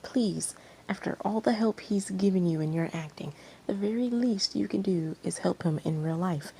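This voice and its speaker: A woman's voice: mid-range voice, moderate pace, gravelly, warm tone, slight background noise, close to an everyday voice. A woman voicing an anxious, pleading male talent manager with an urgent, insistent manner in a story reading. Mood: imploring.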